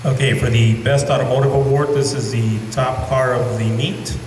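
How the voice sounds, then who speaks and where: A man's voice: mid-range voice, little pitch movement, moderate pace, a little mellow, a little hiss or hum. A man presenting car awards at a model contest, plain and matter-of-fact. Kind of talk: award announcement. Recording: echoey hall from far off.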